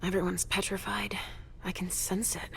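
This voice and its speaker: A woman's voice: mid-range voice, level tone, moderate pace, gravelly, a bit bright, slight background noise. A woman playing a troubled, fragile vampire mother in a dramatic game cutscene, with a hesitant, emotional delivery. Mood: defensive, uneasy. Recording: faint music behind the voice.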